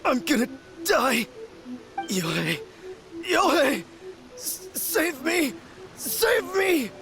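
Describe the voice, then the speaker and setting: A gravelly, unhinged young man; slow, wildly swinging delivery. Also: very high voice, sing-song, over music, full character voice. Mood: pleading panic. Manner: drawn-out boasting, then panicked begging. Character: young man, manic then pleading, meditating in a waterfall.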